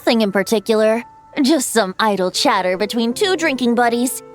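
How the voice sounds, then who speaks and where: Playful high-voiced boyish bard woman acting. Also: lively intonation, normal speed, faint music behind, lightly acted. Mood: breezy, musing. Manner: theatrical, playful, eloquent. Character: playful, wistful boyish male bard, airy and teasing. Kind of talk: anime video game dialogue.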